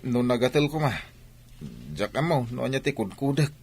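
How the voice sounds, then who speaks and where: A man playing an evasive, two-timing young male student with a Filipino accent. His voice is mid-pitched, with faint ambient noise behind the comedy radio drama. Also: moderate pace, a little mellow, natural voice. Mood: carefree, defensive.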